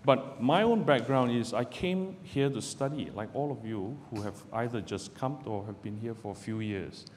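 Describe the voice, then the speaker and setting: A middle-aged man from Malaysia, a barrister giving a measured, deliberate public talk to students from a podium in a lecture hall. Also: medium pitch. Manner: measured, deliberate delivery with pauses. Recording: roomy hall with long reverb, faint background noise.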